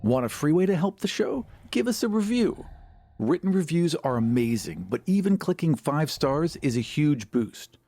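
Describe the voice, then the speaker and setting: A man's voice: fairly high pitch, big pitch swings, moderate pace, dark tone. A man co-hosting a podcast, presenting and chatting. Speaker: podcast co-host, writer and producer.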